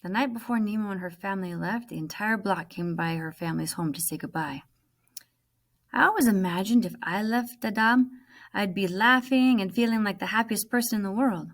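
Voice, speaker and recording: A woman teacher reading a children's book aloud as first-person narration, expressively stressing key words. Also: medium pitch.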